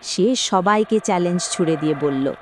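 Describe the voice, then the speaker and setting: A woman's voice: lowish voice, moderate pace. A woman narrating a scripted story in a dramatic storytelling style.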